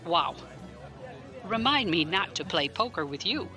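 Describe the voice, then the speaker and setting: A man's voice: sits high, sing-song, unhurried, sharp tone, soft background music, exaggerated voice. A man acting a probing, blunt military defense lawyer in a science fiction audio drama, pointed, articulate and wryly skeptical. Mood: curious, wry.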